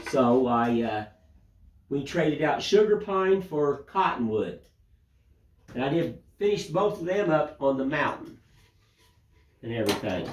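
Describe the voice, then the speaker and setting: An older man with a raspy, hoarse voice from a cold, chatting casually and rambling as he hosts his woodcarving channel. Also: mid-range voice. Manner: rambling, homespun, folksy filler phrases. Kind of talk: casual chat to camera.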